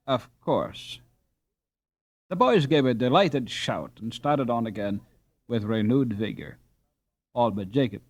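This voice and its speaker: Male narrator for a children's classic audiobook: medium pitch, lively intonation, expressive scripted reading.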